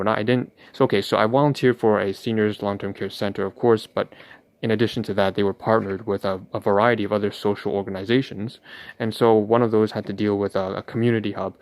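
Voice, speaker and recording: A man's voice: on the low side, clear, resonant tone, dry recording. A man hosting a livestream, rambling through a narration of what he is doing in hesitant, wordy and slightly formal phrasing. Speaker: livestream host. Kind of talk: rambling vlog narration while sorting paperwork.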